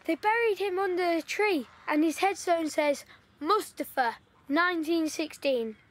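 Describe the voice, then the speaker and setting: A woman's voice: extremely high pitch, a little slow. A woman playing an eager, talkative village boy in wartime, telling stories and coaxing his friend. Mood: eager storytelling. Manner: eager, storytelling, pushy. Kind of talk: audio play acting.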